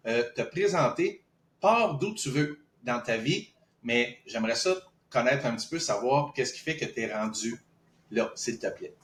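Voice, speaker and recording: A man's voice: medium pitch, expressive, unhurried, fairly bright tone. A man with a Québécois accent co-hosting a casual podcast, joking and playful, with repeated words. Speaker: podcast co-host. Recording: roomy echo.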